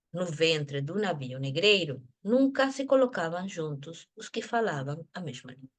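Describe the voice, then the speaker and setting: A woman from Venezuela speaking in an academic discussion group, thoughtful, often restarting and adding tag questions. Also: mid-range voice. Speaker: discussion participant.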